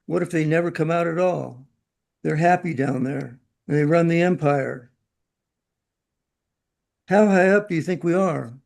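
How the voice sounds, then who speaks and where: A man's voice: a little high, a little slow, vocal fry, pauses often, darkish tone. A man acting in a radio drama as a chatty, knowing, worldly man with a breezy, opinionated way of talking.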